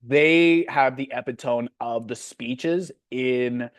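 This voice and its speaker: A man's voice: medium pitch, slow pace, no room sound. Blunt Canadian man coaching on a call. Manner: blunt, frank, with many fillers. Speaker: sales coach hosting a Q&A.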